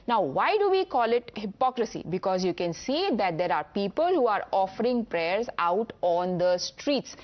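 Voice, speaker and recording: A woman's voice: mid-range voice, muffled recording. A woman with an Indian English accent, presenting television news from a studio in a pointed, argumentative way. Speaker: news anchor.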